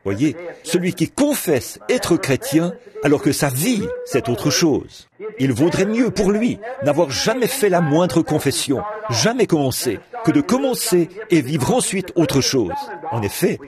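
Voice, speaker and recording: A man delivering a translated sermon in a lively preaching cadence, repeating words as he goes. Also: a little high, a little slow, crowd noise. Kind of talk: French reading of a translated sermon.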